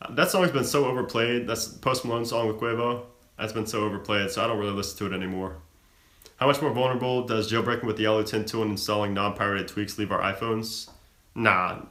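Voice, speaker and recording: A man's voice: lowish voice, fairly bright tone, echoey room. A young man from the American South, hosting a live stream and reading viewer questions aloud before answering them offhand. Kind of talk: casual live Q&A chat.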